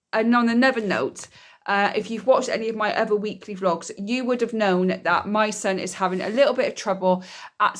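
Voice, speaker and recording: A woman, chatting casually in a weekly vlog, a bit nasal and bunged up from a cold. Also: medium pitch. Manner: chatty, rambling, self-explaining. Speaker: vlogger, single mum.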